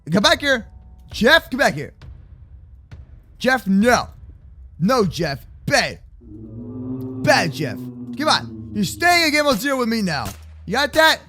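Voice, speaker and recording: Very high-pitched excitable young man. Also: slight background noise. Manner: excitable, exclaiming, repeats words rapidly; slangy, addresses partner as bro and man. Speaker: gaming video host and roleplayer. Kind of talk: improvised Minecraft roleplay commentary for kids.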